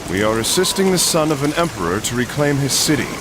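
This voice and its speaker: An actor playing a stoic, reassuring older crusader warrior with a measured, grave manner in a video game. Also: male voice, medium pitch, very animated, background noise, close to an everyday voice. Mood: calm, firm.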